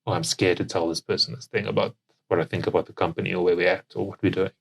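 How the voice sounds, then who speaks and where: Young South African man, lowish voice, company co-founder in casual podcast conversation. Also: fairly even intonation, rapid-fire, gravelly, slightly rough.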